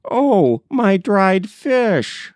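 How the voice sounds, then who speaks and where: A man voicing a fearful old grandmother in a folk tale, with drawn-out, fretful exclamations. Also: high-pitched, big pitch swings, no room sound, clean studio sound, pitched above the performer's own voice, exaggerated voice.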